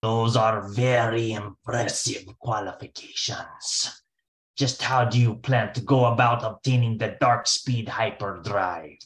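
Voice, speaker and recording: A man's voice: lowish voice, steady tone, unhurried, fairly bright tone. Man playing a formal alien schemer, echoey. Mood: businesslike, menacing. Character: formal alien villain hiring a spy. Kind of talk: radio spoof acting. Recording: roomy, echoing sound.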